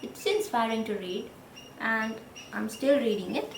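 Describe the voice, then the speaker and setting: A woman in her thirties with a Sri Lankan accent, whose first language is Sinhala, chatting casually to the camera in a gentle, unhurried way. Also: fairly high pitch, gravelly, roomy sound, a little hiss or hum, poor audio. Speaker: vlogger.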